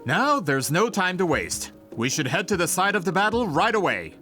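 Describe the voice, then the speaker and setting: A man playing a polite, flattering, persistent merchant in a video game, courteous and eager to please. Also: high voice, moderate pace, soft background music, heavily acted. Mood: apologetic, eager.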